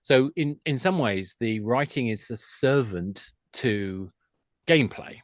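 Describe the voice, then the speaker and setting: A man's voice: medium pitch, animated, takes it slowly, dry recording. Talkative man explaining casually, dry close sound. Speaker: guest, games industry expert. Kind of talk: podcast discussion, casual explaining.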